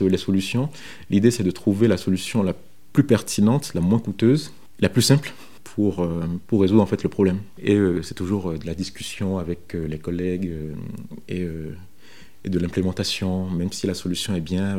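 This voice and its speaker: A man in his thirties from Benin recounts his career informally, speaking in a halting way with frequent repetitions. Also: low-pitched, mellow. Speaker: software developer interviewed about his career. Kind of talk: informal first-person career account.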